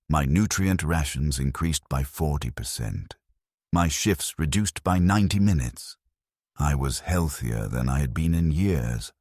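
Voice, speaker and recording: A man narrating a scripted, atmospheric story in a somber, grave, gravelly voice. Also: extremely low pitch, a little mellow, dry recording, studio quality.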